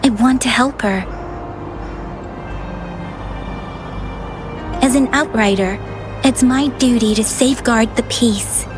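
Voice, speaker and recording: A woman voicing a gentle, earnest young ranger in a video game, sincere and dutiful. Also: a little high, moderate pace, music in the background, lightly acted. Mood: resolute. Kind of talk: video game character dialogue.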